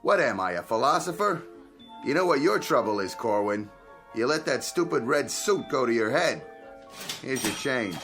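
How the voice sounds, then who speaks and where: A man acting as a gruff, sarcastic male bartender in a radio play. His mid-pitched voice jumps around in lively, curt retorts over background music. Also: moderate pace, lightly acted. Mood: gruff, mocking.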